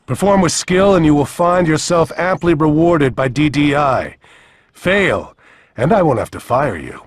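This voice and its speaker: An actor playing a menacing, vengeful and mocking villainous warlord in a video game. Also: male voice, on the high side, lively intonation, unhurried, slight background noise. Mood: mocking menace. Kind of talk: dramatic video game cutscene acting.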